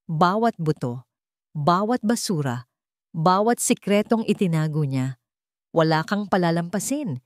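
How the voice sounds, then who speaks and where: A woman voicing a cold, commanding, vengeful businessman who speaks calmly and deliberately with menace, in an audio romance drama. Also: on the low side, no echo, studio quality.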